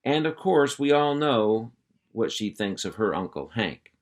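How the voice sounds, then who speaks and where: A man's voice: lowish voice, big pitch swings, unhurried. A man playing a boastful cow dog. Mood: lecturing. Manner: folksy drawl, hearty and lecturing. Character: boastful male ranch cow dog, folksy.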